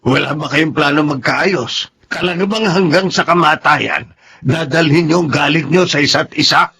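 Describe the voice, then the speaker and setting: A man's voice: fairly high pitch, moderate pace, vocal fry, sharp tone, husky, full character voice. An actor playing a weary, teasing older man who is fed up with the quarrels in a radio drama. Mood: exasperated. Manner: exasperated, teasing.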